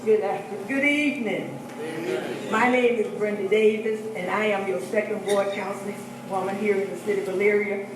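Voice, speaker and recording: A woman's voice: medium pitch, steady tone, normal speed. A woman, a city councilwoman, giving a short speech full of thanks to residents. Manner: warm, repetitive thanks. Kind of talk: brief public thank-you speech. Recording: echoey hall from far off, noisy.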